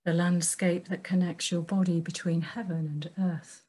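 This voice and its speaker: A woman guiding a meditation in gentle, measured phrases with long pauses between them. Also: on the low side, little pitch movement, slightly rough. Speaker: meditation teacher. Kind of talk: guided meditation.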